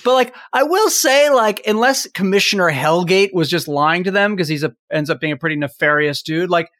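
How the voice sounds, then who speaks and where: A man's voice: high voice, brisk, a bit bright. A man co-hosting a podcast, chatting informally and wryly about a TV show. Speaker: podcast co-host. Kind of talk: casual panel chat about a TV show.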